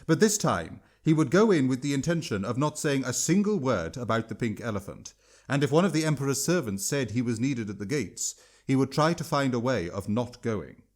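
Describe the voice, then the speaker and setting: A man's voice: mid-range voice, expressive, a little fast. A man narrating a written story as an audiobook-style reader and its author.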